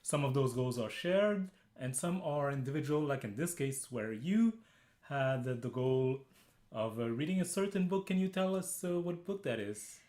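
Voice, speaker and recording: Male host, casual interviewer, halting delivery, echoey room. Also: mid-range voice, lively intonation, darkish tone. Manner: halting, many uhs.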